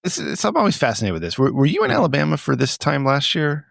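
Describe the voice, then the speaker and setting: A man's voice: on the high side, huge pitch swings, fast, gravelly, fairly bright tone, dry recording, studio quality. A man hosting a podcast in casual, profane, teasing banter, laughing easily. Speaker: podcast host. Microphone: close mic on a group remote call.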